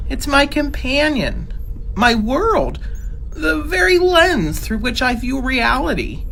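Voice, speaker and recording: A woman's voice: fairly high pitch, a bit bright, slight background noise, full character voice, poor audio. An actress playing an earnest, fretful, analytical female spaceship crew member in a sci-fi comedy radio drama.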